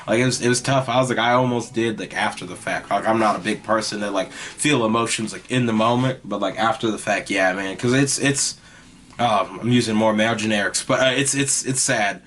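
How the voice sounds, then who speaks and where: A young man hosting his own podcast, talking in a loose, rambling way with lots of "like" and "you know", in a raspy, mostly monotone voice. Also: fairly low pitch, brisk, slight background noise. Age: young adult. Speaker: podcast host. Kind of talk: casual rambling monologue.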